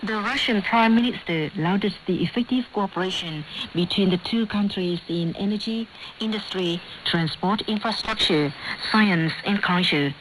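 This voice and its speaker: A woman's voice: mid-range voice, a bit bright. Vietnamese-accented woman newsreader over noisy shortwave radio. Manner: formal, measured delivery. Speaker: radio news presenter and host. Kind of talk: scripted news reading. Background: clear static noise.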